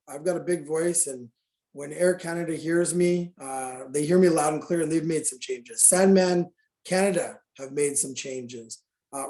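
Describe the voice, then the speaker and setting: A man's voice: fairly high pitch, a little mellow, reverberant. A middle-aged man speaking candidly and earnestly on a video call meeting, talking to fitness business owners.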